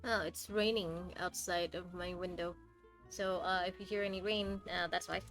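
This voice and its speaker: A woman's voice: fairly low pitch, steady tone, moderate pace, a bit bright, soft background music. Wry woman streaming a game. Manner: wry, reactive. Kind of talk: casual playthrough commentary.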